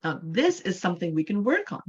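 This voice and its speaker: A patient woman in her forties from Canada, her voice sitting a little low as she teaches English. She stretches out and repeats key words to make her points. The sound comes through a dull, lo-fi video call. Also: sing-song, fairly quick, darkish tone, breathy edge. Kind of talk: online class lesson.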